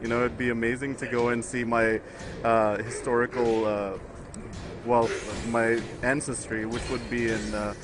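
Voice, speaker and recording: A man's voice: mid-range voice, level tone, moderate pace, background noise. A young man from the United States chatting loosely as a guest commentator on a fighting game stream, with lots of fillers and frequent laughs. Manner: rambling, laughs often, many fillers. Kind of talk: casual chat with stream chat.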